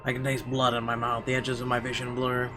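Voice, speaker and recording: A man reading a horror visual novel aloud in an expressive, theatrical narration with weighty pauses. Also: medium pitch. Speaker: let's player. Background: game music.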